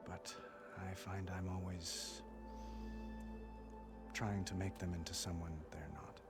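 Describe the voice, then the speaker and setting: Gracious formal young king, low-voiced. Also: little pitch movement, over loud music. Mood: candid, wistful. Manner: formal, courteous, measured. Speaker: voice actor in a video game. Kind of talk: dramatic video game dialogue.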